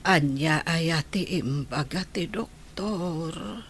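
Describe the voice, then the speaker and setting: A woman plays a frail old Ilocano grandmother in a radio-style drama. Her voice is husky and fairly low, and she speaks very slowly, with weary resignation. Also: heavily acted. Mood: weary, pained. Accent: Ilocano Filipino. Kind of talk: audio drama acting.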